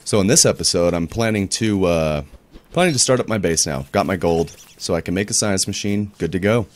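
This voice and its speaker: A man with a deep voice giving casual, rambling commentary as he plays a game, often stopping to search for words. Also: brisk. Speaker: gaming YouTuber. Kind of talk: casual let's-play commentary.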